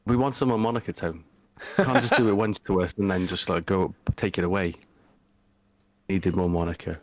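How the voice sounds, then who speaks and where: A man's voice: low-pitched, steady tone, a little fast, no room sound. A young man from Wales with a Cardiff accent, a cheeky podcast guest who laughs often and swears. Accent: Cardiff Welsh. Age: young adult. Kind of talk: casual chat.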